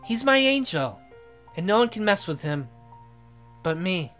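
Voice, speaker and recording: A man's voice: high-pitched, sing-song, measured pace, fairly bright tone, faint music behind, full character voice. A man voicing a tender, guilt-ridden young woman from a romance visual novel, speaking in an earnest, pleading way. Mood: apologetic, earnest.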